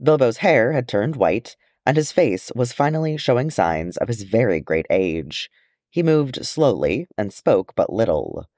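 A man reading fantasy fiction aloud as an expressive storyteller. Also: mid-range voice, a little slow, no room sound, clean studio sound. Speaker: amateur audiobook reader. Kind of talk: expressive audiobook narration of fantasy fan fiction.

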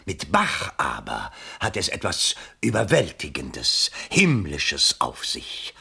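An actor playing a pompous, agitated bourgeois election candidate in a theatrical German radio play, exclamatory and self-dramatizing. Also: male voice, extremely low pitch, huge pitch swings, takes it slowly, creaky, pushed and bright, husky, full character voice. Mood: rapturous.

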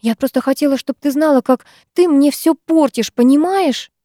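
A distraught teenage girl in a storybook, pleading through tears, her high voice cracking and straining upward, as a woman reader puts her on. The tone stays soft and mellow rather than bright, recorded close and dry with no room around it. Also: moderate pace, no room sound, natural voice. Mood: tearful. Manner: tearful, pleading, breaking voice. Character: sobbing desperate teenage schoolgirl. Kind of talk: audiobook character voice.

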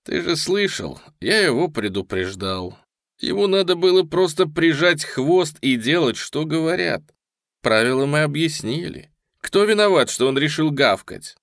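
A man's voice: on the high side, expressive, a bit bright, dry recording, clean studio sound, pitched above the performer's own voice. A man doing a cold, menacing young thug. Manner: slow, cold, contemptuous. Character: menacing young male criminal, cold and bitter.

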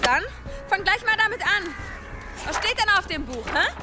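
A woman's voice: very high-pitched, normal speed, over music. A woman playing a bold, teasing young villager with a cheeky manner in a radio drama. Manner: cheeky, lively. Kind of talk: German radio drama acting.

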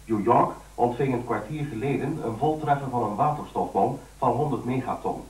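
An actor playing a grave, formal radio newsreader delivering an old-fashioned bulletin in a radio play. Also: male voice, medium pitch, normal speed, darkish tone, echoey room, distant mic, close to an everyday voice, low-quality recording.

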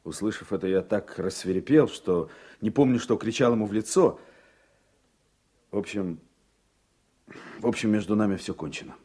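An actor playing an earnest, emotional political aide in a radio play, with a slightly husky voice. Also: male voice, fairly low pitch, moderate pace, pauses often, mellow. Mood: indignant.

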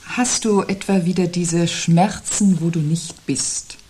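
An actress in a 1970s German radio play, playing a sceptical wife who answers with dry, probing irony. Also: female voice, lowish voice, slow, dark tone, slight background noise. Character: sceptical, sardonic wife impatient with her partner's pathos.

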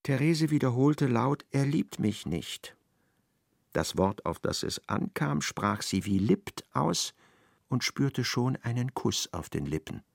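A male narrator delivers literary prose for a radio play. His mid-pitched voice has a gravelly creak and lively, varied melody, captured very dry. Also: slow pace, no room sound. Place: dry studio.

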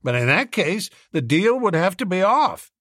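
A man's voice: sits high, sing-song, moderate pace, fairly bright tone, no echo, studio quality, pitched above the performer's own voice, exaggerated voice. A man voicing a businesslike American small-town booster, formal, wordy and emphatic. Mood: hearty.

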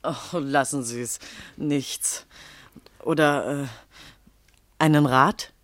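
A woman's voice: deep voice, fairly even intonation, slow pace, no echo. Credulous young village woman, dry sound. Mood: anxious, gossipy. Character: credulous, jealous young village fiancée, anxious and flattering. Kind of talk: German radio play acting.

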